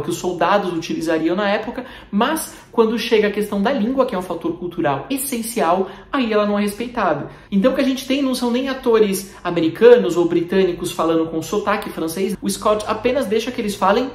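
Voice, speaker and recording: A woman with a Brazilian Portuguese accent reviews a film as a critic, in a measured and articulate scripted delivery. Her voice sits at an ordinary middle pitch. The room adds a noticeable echo around her. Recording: roomy, echoey room.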